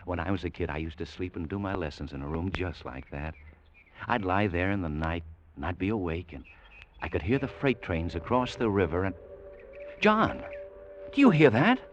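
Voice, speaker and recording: An American actor playing an earnest, awestruck space explorer in a 1940s radio drama, speaking with fervent wonder. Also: male voice, sits low, lively intonation, normal speed, lo-fi sound, slight background noise. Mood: wistful, then excited.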